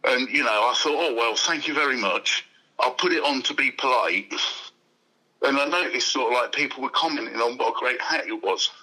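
Jolly man with a bright sing-song patter. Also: medium pitch, moderate pace, rough recording. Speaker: magazine street vendor. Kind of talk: casual storytelling.

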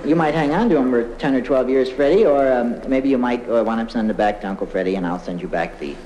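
A man's voice: medium pitch, fast, warm tone, lightly acted. A man playing a flustered, smarmy children's TV host in a comedy monologue, hesitant and stammering, talking down to children. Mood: falsely cheery. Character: flustered, smarmy male children's TV show host, "Uncle" type. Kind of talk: live comedy monologue, halting letter reading. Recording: echoey noisy old live recording, audience laughter.

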